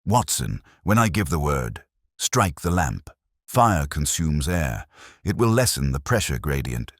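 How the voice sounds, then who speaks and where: Guy doing a stern detective in an audiobook, super low voice, clipped and formal, close dry sound. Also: sing-song, unhurried, no echo, full character voice. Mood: grim, urgent. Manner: clipped, formal, grimly controlled. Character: stern, precise, commanding male detective.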